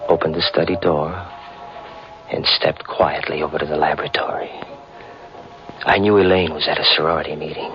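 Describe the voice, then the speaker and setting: A man acting an obsessive, scheming young chemistry teacher and narrator in a 1940s radio mystery, dramatic and brooding. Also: rumbling low. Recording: muffled vintage radio recording with music.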